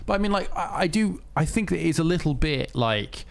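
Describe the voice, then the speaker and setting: A man's voice: fairly high pitch, expressive, a little fast, vocal fry. A British man co-hosting a podcast, talking in a rambling, opinionated way with many fillers. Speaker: podcast co-host. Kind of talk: casual chat.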